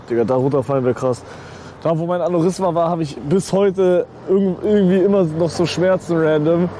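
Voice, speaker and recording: A young man streaming live while riding a bike on city streets, talking in a slangy, excitable way and swearing a lot. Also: high-pitched. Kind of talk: live outdoor stream chat. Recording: outdoor street noise with traffic.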